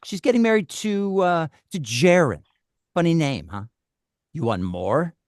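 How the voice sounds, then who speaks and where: A man's voice: fairly high pitch, sing-song, measured pace, no echo, studio quality. A man acting a menacing, blunt and foul-mouthed kidnapper making threats in a script table read. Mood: cold, controlled. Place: video call.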